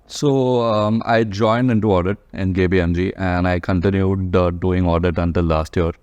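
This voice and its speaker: A man with an Indian accent speaks as a guest in a casual panel interview. His voice is very low and fairly flat, captured in a slightly dull recording. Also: moderate pace, no echo. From Mumbai, India. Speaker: guest, practicing chartered accountant.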